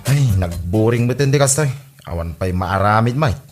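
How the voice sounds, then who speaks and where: An actor with an Ilocano accent playing an easygoing, bored village husband in a comedy drama podcast. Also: male voice, lowish voice, animated, moderate pace, loud music behind the voice, lightly acted. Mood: bored, grumbling.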